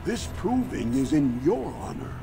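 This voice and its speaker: An actor playing a formal, deferential dwarf arena master and announcer in a fantasy video game. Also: male voice, a little high, sing-song, unhurried, vocal fry, full character voice. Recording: long hall-like reverb under game music.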